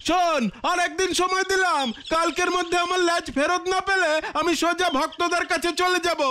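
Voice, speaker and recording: Shrill sharp scolding cartoon guy, threatening, faint music. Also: little pitch movement, brisk, a little hiss or hum. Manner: scolding, demanding. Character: stern, threatening cartoon costume lender. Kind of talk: Bengali cartoon dubbing. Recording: faint background music under dialogue.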